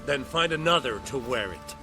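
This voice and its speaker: A man's voice: mid-range voice, animated, normal speed, over music, natural voice. A man playing a stern, haughty army general in a video game, speaking formally and imperiously. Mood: commanding. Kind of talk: video game character acting.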